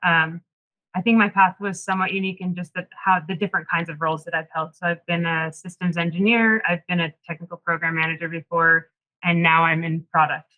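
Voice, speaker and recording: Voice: medium pitch, level tone, brisk, narrow, tinny audio. A panel moderator who works in product, chatting in a friendly, conversational way and putting questions to the guests. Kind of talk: hosting a careers panel.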